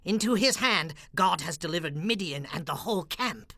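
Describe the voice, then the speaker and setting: A man's voice: sits high, expressive, moderate pace, vocal fry, sharp tone, dry recording, clean studio sound, voice pushed up, full character voice. A man voicing an awed ancient soldier in a scripted Bible drama, emphatic and solemn. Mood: awed certainty. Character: awed male Midianite soldier interpreting an omen.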